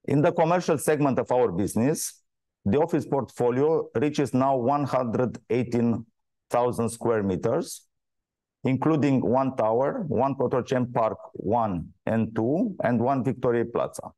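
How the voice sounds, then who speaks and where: Exec guy reading out quarterly results, soft dark voice, formal and measured, very dry sound. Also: mid-range voice, dry recording. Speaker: company executive presenting financial results. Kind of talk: scripted earnings call presentation.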